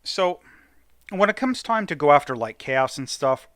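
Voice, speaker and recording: A man's voice: a little high, very animated, moderate pace, a bit bright, no echo. A man giving casual let's play commentary, thinking aloud and deliberating as he hosts a gaming video.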